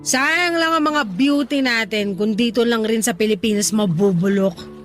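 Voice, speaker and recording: An actress with a Filipino accent playing a sassy, ambitious, restless young resort worker in a radio drama. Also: female voice, fairly high pitch, normal speed, soft background music, lightly acted. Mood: defiant, boastful. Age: young adult. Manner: sassy, complaining, colloquial banter. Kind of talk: Tagalog radio drama dialogue.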